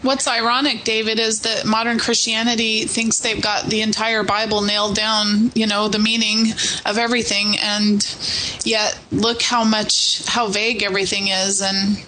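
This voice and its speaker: A woman's voice: mid-range voice, little pitch movement, moderate pace, bright, forceful tone, slight background noise, rough recording. A woman in a casual discussion, making a wry, pointed remark.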